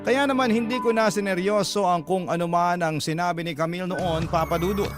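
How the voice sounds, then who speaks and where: A male radio narrator reads a listener's letter aloud in the first person, earnest and dramatic. His voice sits a little high for a man, and loud instrumental music plays under him throughout. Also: steady tone, fairly quick.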